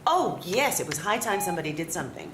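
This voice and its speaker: A woman's voice: fairly low pitch, big pitch swings, quick pace, background noise. A woman as a gossipy, chatty shopkeeper. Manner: chatty, calls customers honey.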